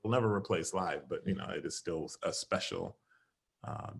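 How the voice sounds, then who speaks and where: A man's voice: sits low, normal speed, vocal fry, a little hiss or hum. A man being interviewed over a video call, talking casually and animatedly, laughing often and acting out what he said. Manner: animated, laughs often, quotes himself in lively bursts. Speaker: interview guest, stage actor and singer.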